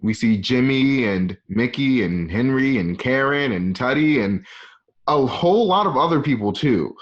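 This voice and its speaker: A man's voice: mid-range voice, lively intonation, measured pace, muffled recording. A man narrating a screenplay's stage directions for an audio drama table read, recorded at home.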